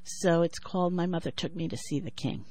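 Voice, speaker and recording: A woman in her sixties with a New York accent who talks thoughtfully with many pauses and then reads her poem in a measured rhythm. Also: on the low side, darkish tone, slight background noise. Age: 60s. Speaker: poet and writer. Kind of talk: interview and poetry reading.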